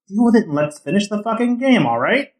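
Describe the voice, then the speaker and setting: High-pitched exasperated young male umpire, echoey. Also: very animated, fast. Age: 30s. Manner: blunt, exasperated, sarcastic. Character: exasperated, sarcastic umpire in his early thirties. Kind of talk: naturalistic audio drama acting. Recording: roomy, echoing sound.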